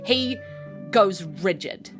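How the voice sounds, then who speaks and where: A woman's voice: mid-range voice, animated, takes it slowly, faint music behind. A woman with a New Zealand accent improvising narration as game master of a tabletop roleplaying game.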